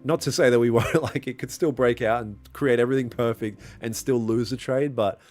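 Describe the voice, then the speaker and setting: A man's voice: mid-range voice, fairly even intonation, brisk, darkish tone. A young man livestreaming his trading, chatting casually with viewers in a slangy, laid-back way. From Australia. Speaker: livestreaming trader. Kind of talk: casual live-stream chat, reading and answering viewer comments. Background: faint lo-fi music.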